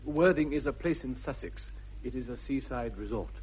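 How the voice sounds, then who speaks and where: An actor playing an earnest, flustered young gentleman suitor with an upper-class English accent, polite and formal, in a 1960s British radio comedy. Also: medium pitch, moderate pace, slightly rough. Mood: polite, awkward. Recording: dull vintage radio recording.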